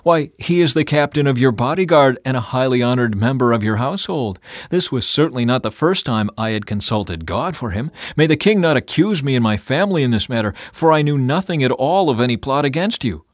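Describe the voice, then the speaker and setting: A man's voice: medium pitch, expressive, brisk, hardly any pauses, no echo, clean studio sound, pitched above the performer's own voice, lightly acted. A man voicing a loyal priest who protests his innocence respectfully and earnestly, in a dramatised Bible audiobook. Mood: earnest, pleading. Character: earnest, loyal male priest pleading innocence before king.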